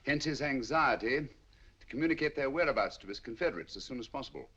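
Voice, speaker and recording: An actor playing a shrewd, calm detective, speaking in a measured, deliberate way in a 1940s film. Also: male voice, medium pitch, slight background noise, natural voice. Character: shrewd calm male detective, middle-aged. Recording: dull lo-fi 1940s film soundtrack.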